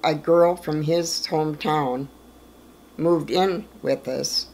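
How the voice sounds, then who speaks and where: A woman in her eighties telling family stories in a plain-spoken, unhurried way during a documentary interview at home. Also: low voice, gravelly, a little mellow, rough recording. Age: 80s. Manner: plain-spoken, slow storytelling with small laughs. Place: living room, in an armchair.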